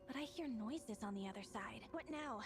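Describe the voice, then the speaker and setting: A woman's voice: fairly high pitch, animated, normal speed, faint music behind. A woman playing a spirited, eager young adventurer heroine in a video game, with a cute voice. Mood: determined musing.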